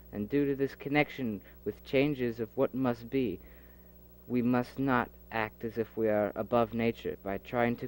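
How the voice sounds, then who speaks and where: A man's voice: mid-range voice, low-quality recording. A man in a home-made audio drama, debating philosophy earnestly and stumbling over repeated restarts. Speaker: amateur audio drama performer voicing several characters. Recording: faint tape hiss from an old cassette.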